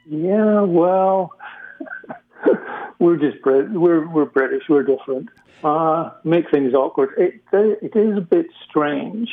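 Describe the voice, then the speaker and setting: A man's voice: on the high side, expressive, slow pace. A Scottish man, a guest in a casual interview, talking with many hesitant fillers over a remote call. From Scotland. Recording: tinny phone-like remote line.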